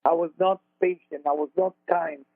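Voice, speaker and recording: An Israeli-accented man with a high, gravelly voice talks earnestly as a spiritual teacher on a radio show. His English is broken and his phrasing plain and confessional. The sound is dry and thin, like a phone line. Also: steady tone, moderate pace, no room sound. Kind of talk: radio talk, storytelling.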